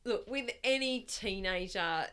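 A middle-aged woman with a loud voice that projects, chatting as a guest in a casual interview, rambling and laughing often. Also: mid-range voice, lively intonation, unhurried, clean tone. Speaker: guest, parent of the host.

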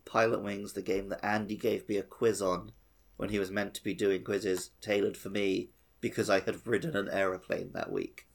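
A man's voice: lowish voice, flat delivery, normal speed, vocal fry. A man co-hosting a gaming podcast in casual conversation. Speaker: podcast co-host.

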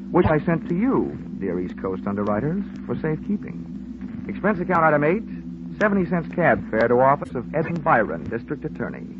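An actor playing a world-weary, hard-boiled insurance investigator in a 1950s radio drama, with wry, sardonic, slangy delivery. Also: male voice, medium pitch, close to an everyday voice. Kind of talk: 1950s radio drama acting with first-person narration. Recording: muffled, noisy vintage radio recording.

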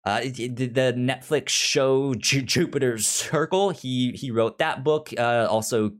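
A man's voice: medium pitch, measured pace. A man co-hosting a podcast in casual, unscripted chat, often stammering and repeating syllables. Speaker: podcast co-host.

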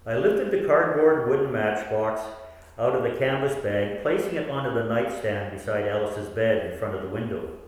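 A man's voice: mid-range voice, moderate pace, natural voice. A man playing a devoted 58-year-old who narrates his own story in a radio play. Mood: reflective. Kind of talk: scripted first-person radio play narration. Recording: distant, echoey hall with long reverb.

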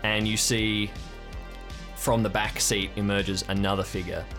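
A man's voice: on the low side, level tone, a little slow, music in the background. A man narrating an improvised audio drama as game master, describing scenes aloud as he builds them.

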